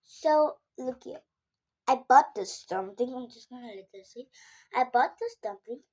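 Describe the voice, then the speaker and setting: A woman with a South African Afrikaans accent, a native Afrikaans speaker, chatting casually to viewers in a halting, simple way. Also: on the high side, a little mellow, husky, dull audio. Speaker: vlogger. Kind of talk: casual vlog chat to viewers.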